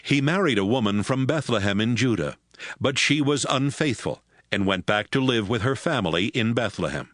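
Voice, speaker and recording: A man narrating scripture in a steady, measured way. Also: medium pitch, no echo, studio quality. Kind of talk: scripted audio Bible narration.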